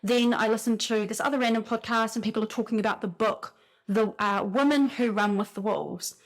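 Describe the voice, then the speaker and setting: A woman with a New Zealand accent gives a casual spiritual talk, telling stories in an animated way and often saying "like". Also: on the high side, a little fast. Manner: animated storytelling, frequent "like" fillers. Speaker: spiritual speaker.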